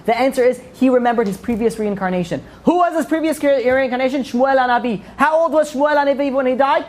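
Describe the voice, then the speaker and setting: A woman's voice: sits high, fast, darkish tone. A woman giving an informal religious lecture to a group, emphatically asking questions and answering them herself. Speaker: religious class teacher.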